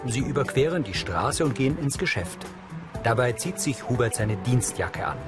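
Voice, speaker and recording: A man's voice: mid-range voice, big pitch swings, normal speed. Neutral male audio describer over music. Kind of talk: scripted narration, neutral and factual.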